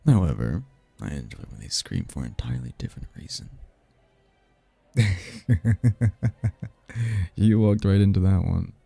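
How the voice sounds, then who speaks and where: Smug, flirtatious demon prince played by a male actor, very dark husky voice, close dry sound, for ASMR roleplay. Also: very animated, no room sound, soft background music, heavily acted. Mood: wicked, seductive. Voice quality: low, gravelly, husky. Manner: teasing, smug, drawn-out flirtatious delivery. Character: smooth-talking seductive male demon prince, playful, teasing, bored. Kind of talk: audio roleplay, ASMR-style voice acting.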